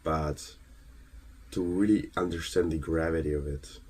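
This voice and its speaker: A man from Ukraine delivers an earnest, emphatic motivational monologue, halting between phrases and posing rhetorical questions. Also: a bass voice, steady tone, darkish tone, slight background noise. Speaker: motivational speaker.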